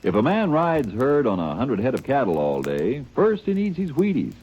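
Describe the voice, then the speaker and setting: A folksy male announcer with a mellow, husky voice whose pitch swings widely as he makes his upbeat pitch. The dull, lo-fi sound marks this as an old radio ad. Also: wildly swooping pitch, normal speed. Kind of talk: 1940s radio commercial.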